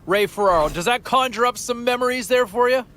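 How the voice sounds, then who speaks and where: An actor playing an upbeat, chatty sports radio host interviewing guests in a video game. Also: male voice, extremely high pitch, fairly quick, sharp tone, a little hiss or hum. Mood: upbeat, inquisitive.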